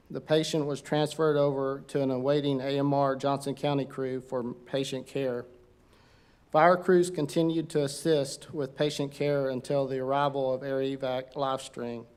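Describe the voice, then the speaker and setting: A man, a fire captain, reading a formal incident report at a council meeting, steady with some halting fillers. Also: mid-range voice, smooth. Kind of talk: formal prepared speech. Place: council chamber.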